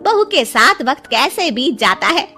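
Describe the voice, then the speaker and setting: A woman's voice: sits high, big pitch swings, measured pace, bright, forceful tone, faint music behind, heavily acted. A woman voicing a cheerful, contented middle-aged mother-in-law in an audio story, chatty and sweet.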